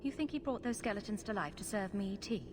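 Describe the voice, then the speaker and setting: A woman playing a confident, sardonic fantasy game heroine. She is glib and wry at a middling pitch, with clear ambient noise behind her. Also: expressive, quick pace, natural voice. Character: wry, self-assured heroine adventurer. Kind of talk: video game acting.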